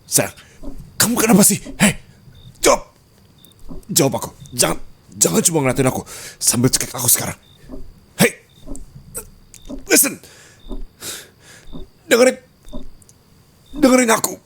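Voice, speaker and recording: A man's voice: high-pitched, creaky, slight background noise, voice pushed up, heavily acted. A man playing a dominant, teasing rich husband in an intimate ASMR roleplay, taunting and possessive, mixing Indonesian with English. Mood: strained pleading.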